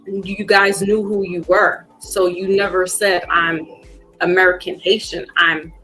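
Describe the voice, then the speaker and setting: A woman's voice: on the low side, little pitch movement, unhurried, sharp tone, poor audio. Woman hosting a casual chat, faint music. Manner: reflective, many fillers, rising to emphatic. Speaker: podcast host. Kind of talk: casual conversation.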